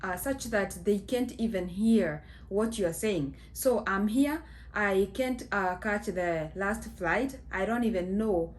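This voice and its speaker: Slow-talking Kenyan woman telling a story. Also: mid-range voice. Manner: slow, chatty, many fillers, mixes in Swahili phrases. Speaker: vlogger. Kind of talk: casual storytelling to camera. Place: small indoor room at home.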